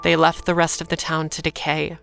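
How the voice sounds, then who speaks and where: Jaded young noir heroine, music behind. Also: female voice, deep voice, monotone, a little fast, gravelly, fairly bright tone. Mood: weary, bleak. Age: young adult. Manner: dry, weary, restrained. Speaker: lead character and narrator in an audio drama. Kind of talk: noir audio drama narration and dialogue.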